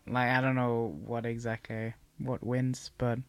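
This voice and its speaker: Woman, very low voice, relaxed unhurried talk, dry close sound, podcast guest. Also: no room sound. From Sweden. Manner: relaxed, unhurried, laughs often. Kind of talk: casual conversational chat.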